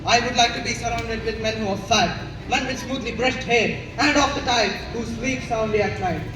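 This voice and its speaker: A man's voice: extremely high pitch, moderate pace, background noise. A teenage boy with an Indian accent acting a proud, wary Roman ruler in a school stage play. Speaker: student actor in a school play. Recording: echoey stage from far off, music behind.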